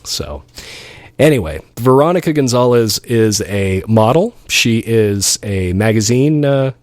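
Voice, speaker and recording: A man's voice: lowish voice, animated, a little slow, darkish tone, dry recording. A man hosting a radio show, chatting casually between songs in a rambling, affectionate way. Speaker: radio DJ host.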